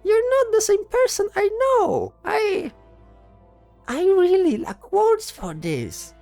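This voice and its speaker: A woman's voice: extremely high pitch, sing-song, slow, gravelly, dark tone, soft background music, exaggerated voice. A woman voicing a distressed magical creature in a fantasy audio drama, pleading and stammering. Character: distressed magical flying creature with jaws and flame.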